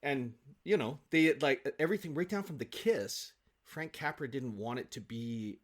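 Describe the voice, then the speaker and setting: A man in an interview, telling anecdotes with nerdy, animated enthusiasm. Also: mid-range voice, normal speed. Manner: enthusiastic, self-confessed film nerd. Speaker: guest, stage actor.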